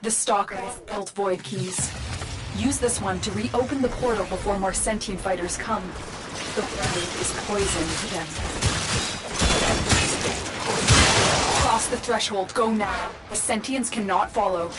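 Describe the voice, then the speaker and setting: A woman's voice: mid-range voice, moderate pace, lightly acted. Woman as a composed, solemn guide with music behind. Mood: urgent. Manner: composed, earnest, instructive. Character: solemn, protective female guide and commander. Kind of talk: video game voice acting.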